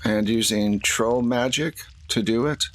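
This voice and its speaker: A man plays a worried troll in a tabletop roleplaying game. He speaks slowly and haltingly at a middling male pitch, explaining grave dangers with measured, apologetic care. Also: lightly acted. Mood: wary.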